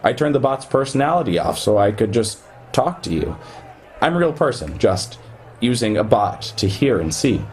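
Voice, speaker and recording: A man's voice: medium pitch, sing-song, normal speed, darkish tone, soft background music. An actor playing an earnest, insistent male programmer in radio play dialogue.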